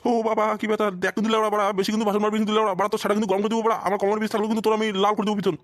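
A man's voice: sits high, little pitch movement, very fast, no echo, studio quality, lightly acted. A man voicing a foul-mouthed, scolding old village father with an aching back in a crude comedy cartoon. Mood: angry, threatening.

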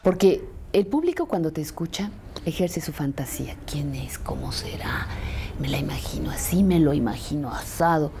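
A middle-aged woman with a Mexican accent speaking reflectively in an interview, deliberate and expressive, pausing for emphasis. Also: deep voice, noisy background. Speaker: radio presenter. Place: furnished indoor sitting room. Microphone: lapel mic.

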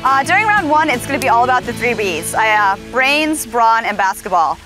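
A woman's voice: fairly high pitch, big pitch swings, a little fast, poor audio. A young woman from Germany with a German accent, chatting upbeat on a reality dating show. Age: young adult. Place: outdoors at a resort. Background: background music.

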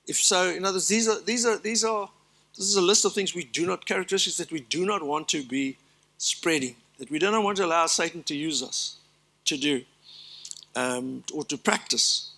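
Bright-voiced older pastor preaching. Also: male voice, fairly high pitch, moderate pace. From South Africa. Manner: earnest preaching with emphatic lists and asides. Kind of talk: sermon. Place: large church stage.

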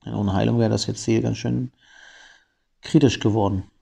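A man with a slightly low, gravelly voice and a cold talks through a mobile puzzle battle. His tone is dark and mellow, and he explains each move analytically, pausing with fillers as he thinks it over. It sounds like a home desktop microphone. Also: fairly even intonation. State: has a cold. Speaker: gameplay commentator. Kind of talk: gameplay commentary.

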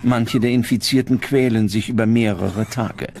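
A man narrating a documentary script in a grave, measured, dramatic way. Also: mid-range voice, steady tone, darkish tone, slight background noise. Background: prominent background music.

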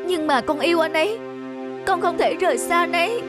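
A woman's voice: very high-pitched, lively intonation, fairly quick, over loud music. A woman voicing an earnest, lovestruck young student daughter in a cartoon. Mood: pleading. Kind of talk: cartoon dub acting.

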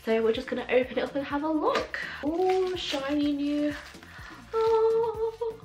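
A young woman reviewing makeup in a casual vlog, excitable and full of fillers, her voice a little rough from a cold and sore throat. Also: high-pitched, unhurried. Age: young adult. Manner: gushing, excitable, many fillers. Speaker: beauty vlogger. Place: small room at home, indoors. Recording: echoey room with faint music.

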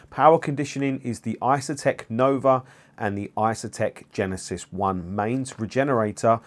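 Man narrating an audio gear demo. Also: mid-range voice. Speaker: audio gear reviewer and channel host. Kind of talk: scripted explanatory narration of an equipment demo.

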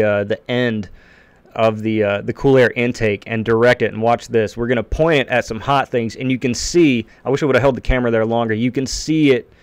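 A man's voice: mid-range voice, smooth, no room sound. A man in his thirties hosting his own channel, talking casually over footage with lots of fillers in a small home office. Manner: relaxed, rambling, many fillers. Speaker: channel host. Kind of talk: casual commentary over video. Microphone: desk mic on boom arm.

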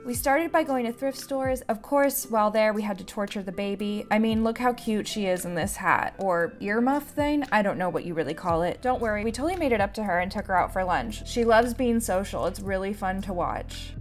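A young woman narrates her vlog in a close voice-over, bubbly and gushing at a middle pitch. Faint music plays softly underneath her. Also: animated, a little fast, few pauses. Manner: enthusiastic, gushing. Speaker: vlogger.

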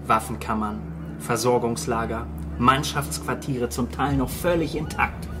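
A man's voice: mid-range voice, unhurried, background noise, close to an everyday voice. Dry, laconic male scientist played by a man. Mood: grim, explaining. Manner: laconic, teasing, factual. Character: dry, wry male island marine scientist. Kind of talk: naturalistic radio drama acting.

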